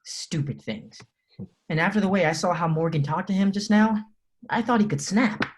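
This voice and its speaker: An actor reading a protective, foul-mouthed high school best friend at a film table read. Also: male voice, moderate pace, lightly acted. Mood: confiding.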